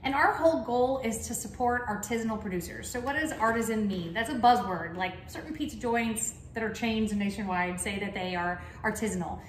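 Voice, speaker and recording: Enthusiastic Texas-accented woman, higher voice, folksy class host; roomy echo, light noise. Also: brisk, hardly any pauses. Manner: chatty and enthusiastic, folksy asides. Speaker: cheese shop founder teaching a tasting class. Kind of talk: casual tasting class. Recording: echoey room, faint background noise.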